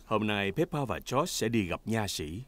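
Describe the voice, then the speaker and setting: A woman's voice: very deep voice, animated, moderate pace. A woman reading scripted narration for a young children's cartoon.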